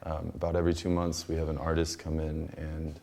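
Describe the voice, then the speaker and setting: An American man casually showing people around as a workshop member, speaking in a halting, hesitant way. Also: rumbling low, completely flat delivery, creaky, mellow. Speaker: community workshop member giving a tour. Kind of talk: casual informal explanation.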